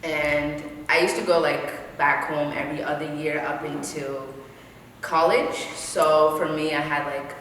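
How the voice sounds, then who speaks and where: A low-pitched woman in her thirties, born in Kenya, talks casually as a guest and breaks into laughter now and then. The room is very echoey with a long reverb tail. A faint hum of ambience sits underneath. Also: steady tone, measured pace, a bit bright. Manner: chatty, laughs often, trails off with fillers. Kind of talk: casual interview chat.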